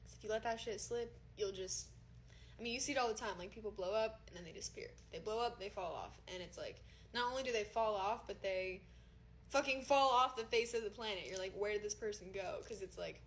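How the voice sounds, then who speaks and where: A woman's voice: medium pitch, brisk, a little hiss or hum. A woman in her twenties, chatting casually on a phone livestream from her room, rambling and swearing freely. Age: 20s. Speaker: social media creator and DJ. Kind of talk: casual livestream chat to viewers. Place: her room at home, indoors.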